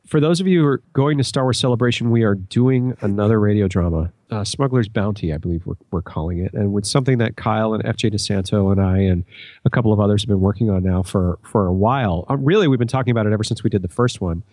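A man's voice: on the low side, fast, darkish tone, dry recording. A man speaking as a podcast guest in casual conversation, explaining things in an earnest way.

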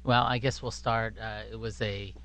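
A man with a fairly low, smooth voice answers as an interview guest. His delivery is conversational and halting, like an executive explaining things in a measured way. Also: flat delivery, a bit bright.

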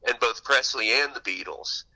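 Bright, forceful man telling stories. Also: mid-range voice, normal speed, poor audio. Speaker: author being interviewed. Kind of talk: conversational radio interview, anecdotal storytelling.

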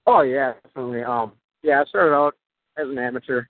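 A young man from Wisconsin answers questions in a relaxed, plain-spoken way, dropping in easy fillers. He comes through a tinny, narrow phone line. His voice sits at a middle pitch. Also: brisk, dry recording. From Wisconsin, USA. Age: 20s. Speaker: guest fighter. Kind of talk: casual interview answers.

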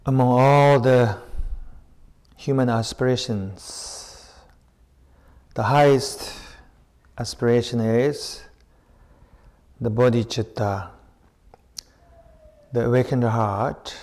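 A man leading a guided meditation as a teacher, speaking in short, unhurried phrases with long pauses between them. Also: medium pitch, steady tone, a little mellow. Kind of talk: slow guided meditation with spoken reflections.

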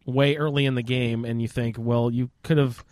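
A man's voice: mid-range voice, fairly even intonation, normal speed, a little mellow, no echo. Man hosting, dry close recording. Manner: steers the group with questions. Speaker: podcast host moderating a roundtable. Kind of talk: casual group chat about a video game.

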